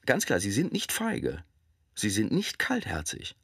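Insistent orator, radio drama, rhetorical, husky, lively intonation. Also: male voice, fairly low pitch, unhurried, no echo, clean studio sound, heavily acted. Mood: grave, firm. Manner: insistent, rhetorical, addressing listeners directly. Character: forceful man arguing a hard moral case in a speech.

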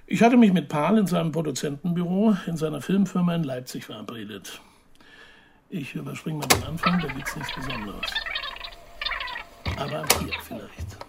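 An actor playing a polite, persistent younger investigative journalist, asking measured questions in a radio drama. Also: male voice, fairly high pitch, animated, vocal fry, background noise. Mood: matter-of-fact. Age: young adult.

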